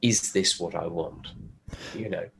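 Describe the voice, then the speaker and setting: A man with a stuffy cold, explaining his work in a relaxed podcast chat with fillers and restarts. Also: deep voice, a little slow, vocal fry, slightly rough. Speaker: guest, pickup designer. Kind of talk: casual podcast conversation.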